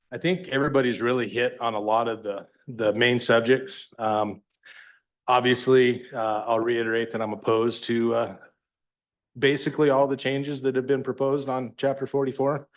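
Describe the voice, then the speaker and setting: A rancher from Wyoming giving public comment in a loud, plainspoken, folksy way. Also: male voice, mid-range voice, steady tone, normal speed. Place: public meeting room.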